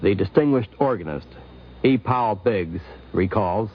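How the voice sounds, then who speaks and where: A man with a low voice narrates a radio documentary from a script in formal 1950s style. The broadcast sound is dull and muffled. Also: lively intonation, measured pace.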